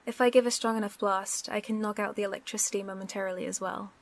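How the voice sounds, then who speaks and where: A woman's voice: mid-range voice, fairly even intonation, quick pace, creaky, a little hiss or hum, natural voice. A British woman playing a sly, teasing supervillain in an audio roleplay, wry, knowing and probing. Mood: wry, confident.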